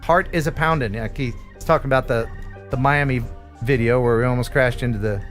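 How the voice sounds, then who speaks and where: A man hosting a live stream in a casual, chatty way, reading out donations with bursts of excitement. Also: mid-range voice, a little fast, soft background music. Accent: neutral radio American. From Philadelphia area. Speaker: livestream host.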